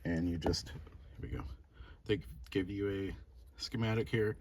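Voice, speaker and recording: Low-voiced man in casual garage vlog. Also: a little slow, darkish tone. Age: late 30s. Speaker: car restoration vlogger.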